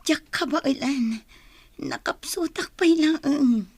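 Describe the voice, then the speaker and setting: A high-pitched, wailing, anguished mother. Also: slow, dry recording. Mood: tearful pleading. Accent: Ilocano. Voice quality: tearful, breaking voice. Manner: pleading, wailing, melodramatic. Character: anguished young mother, fiercely protective of her baby. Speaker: voice actor in radio drama. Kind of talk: Ilocano radio drama acting.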